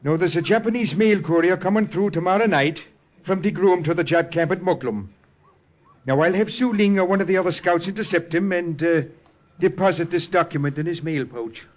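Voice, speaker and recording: An actor playing a genial, teasing Irish army priest with a brogue and rambling blarney, in old-time radio drama style. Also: male voice, high-pitched, fairly quick, close to an everyday voice. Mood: genial, businesslike. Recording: noisy vintage radio recording.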